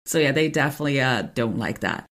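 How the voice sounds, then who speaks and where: A woman's voice: extremely low pitch, animated, fast talker, a bit bright. A Brazilian woman, a translator whose first language is Portuguese, chatting casually in English and laughing often. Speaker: podcast host, translator. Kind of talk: casual conversation.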